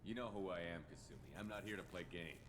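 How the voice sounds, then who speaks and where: An actor playing a stern, hard-nosed military starship commander in a video game, terse and authoritative. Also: male voice, sits low, fast, background noise, natural voice. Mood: guarded, probing.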